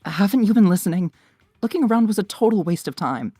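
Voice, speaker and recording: A woman in an amateur anime fan dub playing a dismissive, snappy teenage girl with a husky voice. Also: mid-range voice, animated, quick pace, dark tone, no room sound, studio quality, soft background music. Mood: exasperated.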